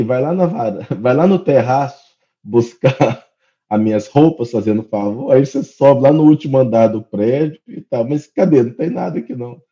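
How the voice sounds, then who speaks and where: A man's voice: mid-range voice, warm tone, highs cut off. A Brazilian man co-hosting a podcast, chatting casually. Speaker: podcast co-host. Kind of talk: casual chat.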